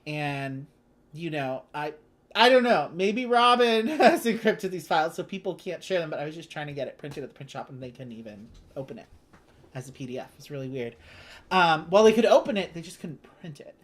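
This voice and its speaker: Chatty board game host, mid-pitched voice. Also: lively intonation, brisk.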